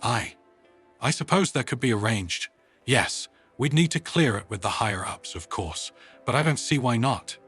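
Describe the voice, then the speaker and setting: A man reads the part of a kind woman space commander in a sci-fi storybook. The delivery is measured and considerate, at a middle male pitch over faint music. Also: animated, fairly bright tone, husky. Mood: hesitant.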